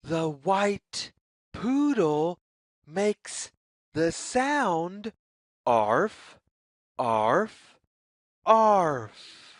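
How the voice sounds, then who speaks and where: A woman narrates a children's storybook at an extremely unhurried pace. Her pitch swings up and down in a sing-song way, with careful, deliberate phrasing. The recording is dry, with very little room sound. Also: very animated, no room sound. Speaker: children's read-aloud narrator, teacher. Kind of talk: slow, expressive picture-book read-aloud for young children.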